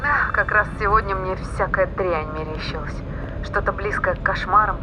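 A pleasant-voiced female spaceship officer, played by an actress in a sci-fi audio drama. She speaks calmly and conversationally at a middle pitch, with a playful, chatty temper. Music plays clearly behind her. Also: moderate pace, close to an everyday voice, poor audio. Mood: uneasy, confiding. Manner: calm, conversational.

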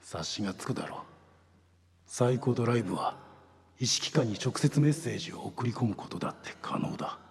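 Gravelly, hushed police chief. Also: male voice, lively intonation, full character voice. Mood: grave, secretive. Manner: low, deliberate, confiding. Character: grave older male police section chief. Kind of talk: radio drama acting. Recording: long hall reverb.

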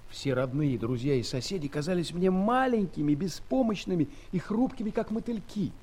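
Earnest male adventurer, fairly high voice, full of wonder, theatrical storybook radio play. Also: normal speed, slightly rough. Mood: reflective. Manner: expressive, exclamatory, reflective. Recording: 1970s studio audio play, background noise.

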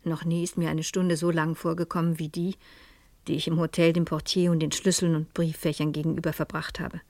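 Low-voiced, determined lady sleuth. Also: level tone, a little fast, darkish tone, no echo. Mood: pensive, tense. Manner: polite, formal, probing. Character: determined, inquisitive woman amateur sleuth, French crime mystery. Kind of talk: radio crime drama, dialogue and first-person narration.

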